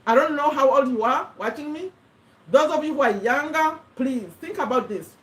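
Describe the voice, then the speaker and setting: A woman's voice: high voice, lively intonation, normal speed. A woman in her fifties from Uganda, talking casually to camera, rambling and correcting herself as she goes. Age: 50s. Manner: rambling, self-correcting, earnest. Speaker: vlogger. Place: USA.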